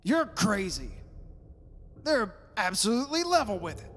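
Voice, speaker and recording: In an echoey hall with some hiss, a blustery middle-aged father acted by a man speaks in a high voice. He is bossy and blunt, a scoffing skeptic in a radio play. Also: sing-song, a little slow, full character voice. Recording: echoey hall, long reverb, background hiss.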